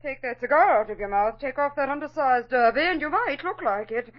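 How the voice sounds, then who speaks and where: An actress playing an indignant, scolding old aunt in a 1940s radio drama. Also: female voice, sits high, animated, fairly quick, lo-fi sound.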